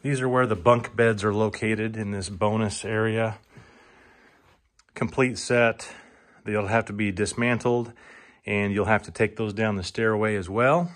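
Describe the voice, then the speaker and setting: A man giving an informal auctioneer's walk-through of items for sale inside a house, speaking haltingly and repeating himself for emphasis. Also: lowish voice, fairly even intonation. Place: indoors, moving through rooms of a large house. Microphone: handheld phone or camera, held by the speaker.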